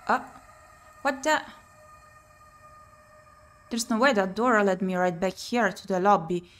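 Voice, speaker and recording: A woman's voice: medium pitch, slow pace. A woman streamer playing a visual novel, reading the story text aloud expressively and adding her own asides. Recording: music behind the voice. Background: game music.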